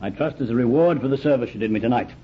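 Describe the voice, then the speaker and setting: An actor playing a bold, confident buccaneer captain in an old radio drama, with hearty archaic seafaring phrasing. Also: male voice, mid-range voice, racing, slightly rough, natural voice. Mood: brisk, trusting. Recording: dull, noisy old radio recording.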